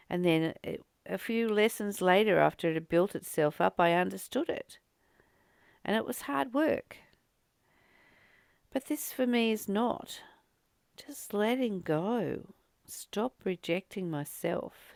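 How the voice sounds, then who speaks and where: A woman giving a contemplative spiritual reading with informal personal commentary, pausing and repeating phrases as she goes. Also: on the low side, creaky, no room sound, studio quality. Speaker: host of a spiritual reading and study series.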